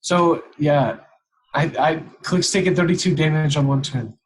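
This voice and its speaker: A man's voice: fairly high pitch, normal speed, low-quality recording. A man chatting casually as a player in a tabletop game, blunt and slangy, with some swearing.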